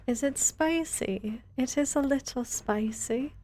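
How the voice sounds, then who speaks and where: A woman's voice: high voice, slow pace, mellow, a little hiss or hum. A woman chatting casually on a game livestream, conversational and rambling as she thinks aloud. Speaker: game streamer. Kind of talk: casual livestream chat while playing a game.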